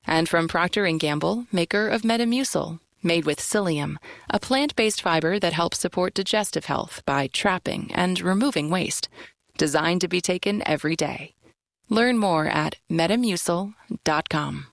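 A woman's voice: on the low side, lively intonation, moderate pace, creaky, dry recording, studio quality. An American woman announcer reading scripted sponsorship credits for radio.

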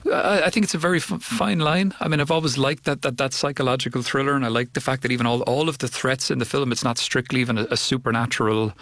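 A man's voice: medium pitch, fairly even intonation, fast talker, few pauses, fairly bright tone, no echo, studio quality. A man from Cork with an Irish accent, a filmmaker answering interview questions thoughtfully, often repeating words and restarting his sentences. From Ireland (Cork). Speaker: filmmaker guest.

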